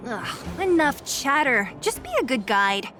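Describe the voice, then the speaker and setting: A woman's voice: a little high, very animated, unhurried, pushed and bright, soft background music, full character voice. An actress voicing a brusque, no-nonsense young woman in a video game, blunt and matter-of-fact. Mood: impatient. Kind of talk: anime-style game voice acting.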